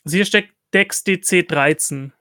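A man's voice: high-pitched, slow, pushed and bright, no room sound, clean studio sound. A man playing a tabletop role-playing game, chatting casually and thinking aloud, mixing in English game terms.

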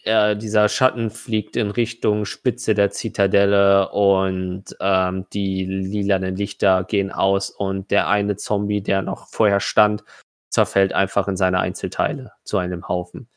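Low-voiced man as game master. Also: little pitch movement, unhurried, smooth, dry recording, studio quality. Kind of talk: improvised tabletop game narration in German.

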